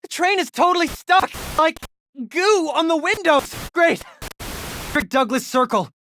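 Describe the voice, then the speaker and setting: A man's voice: very high voice, lively intonation, a little slow, bright, forceful tone, a little hiss or hum, exaggerated voice. A young American man voicing an eager, geeky teenage boy, a loyal tech sidekick in a video game, informal and earnest. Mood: panicked.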